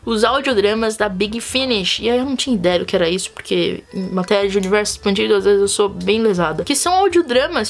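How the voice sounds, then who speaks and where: Chatty Brazilian woman vlogger, slightly high. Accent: Brazilian Portuguese. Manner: chatty, expressive, frequent asides to viewers. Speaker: channel host. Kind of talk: casual vlog chat.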